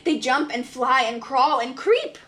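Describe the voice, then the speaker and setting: High, very animated woman reading a storybook. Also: measured pace. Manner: dramatic, expressive, singsong rhyme. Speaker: preschool teacher reading aloud.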